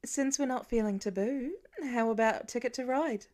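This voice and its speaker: A woman's voice: fairly high pitch, normal speed, darkish tone, close to an everyday voice. A woman voicing a teasing, competitive mother with a dry, playful manner in a story reading. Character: teasing, deadpan mother who loves to win.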